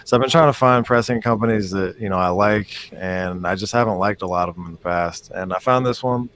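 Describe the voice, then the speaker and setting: A man's voice: on the low side, a little fast, fairly bright tone. A man as a guest musician in a casual video call chat, rambling with many fillers and restarts.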